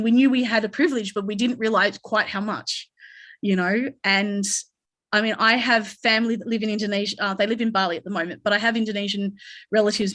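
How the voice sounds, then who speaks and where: A woman's voice: medium pitch, fairly bright tone. An Australian woman speaking on a conference panel, rambling, with frequent restarts and fillers.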